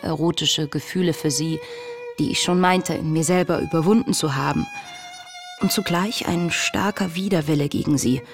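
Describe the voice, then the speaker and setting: Young female diarist in a radio play: deep-toned, articulate, troubled and searching, reading intimate confessions. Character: anguished, self-searching young woman diarist, intelligent and passionate. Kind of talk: literary radio play monologue, reading diary and letters.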